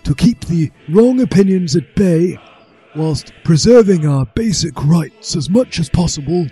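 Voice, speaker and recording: A man voicing a smug old headmaster who makes slow, pompous, self-justifying speeches in a comic story reading. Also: fairly high pitch, lively intonation, dark tone, breathy edge, voice pushed up, heavily acted. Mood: pompous, measured. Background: music.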